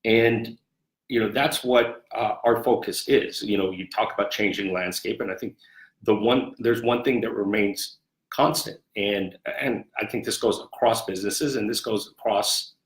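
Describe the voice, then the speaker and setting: A man answering interview questions as a sports executive, conversational and measured, often repeating his phrases in a roomy space. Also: fairly low pitch. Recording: echoey, roomy sound.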